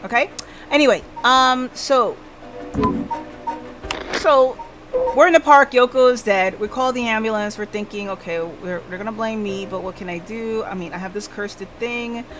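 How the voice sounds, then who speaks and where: A woman's voice: on the high side, normal speed, fairly bright tone, low-quality recording. A woman livestreamer casually recapping a game, thinking aloud and checking in with listeners, with music behind her. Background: background music.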